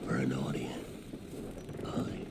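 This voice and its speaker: An actor playing a theatrical, menacing pirate captain in a video game cutscene, given to drawn-out phrases and dark whispers. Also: male voice, a bass voice, level tone, gravelly, full character voice. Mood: brooding, sinister. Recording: noisy game ambience behind the voice.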